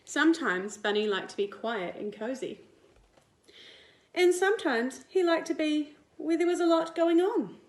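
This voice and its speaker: A woman, a teacher reading a picture book aloud to young children in an expressive, deliberate way. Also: high voice. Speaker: primary school teacher. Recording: roomy indoor echo.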